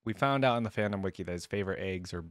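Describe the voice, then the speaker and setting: A man's voice: sits low, racing, no room sound. A man hosting a reaction video with casual, informal commentary, thinking aloud as he goes. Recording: dry close voice, music behind.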